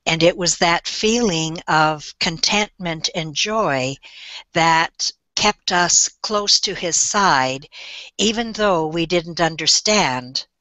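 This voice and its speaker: An older woman speaking on a webinar call as a guest, telling her story in a gentle, reflective way. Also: on the low side, takes it slowly, no room sound. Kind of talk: slow, thoughtful storytelling.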